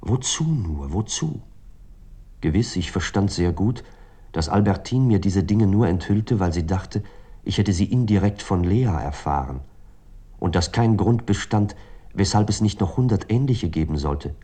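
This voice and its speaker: Dark, husky brooding jealous lover. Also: male voice, low-pitched, lively intonation, a little slow, exaggerated voice. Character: jealous, brooding male narrator, tormented lover questioning his girlfriend. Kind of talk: literary radio drama narration.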